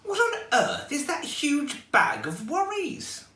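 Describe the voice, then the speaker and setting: A man's voice: very high voice, huge pitch swings, slow pace, sharp tone, voice pushed up, heavily acted. A man voicing a kindly but firm old lady neighbour in a storybook, emphatic and reassuring. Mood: astonished concern. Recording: echoey room, distant microphone.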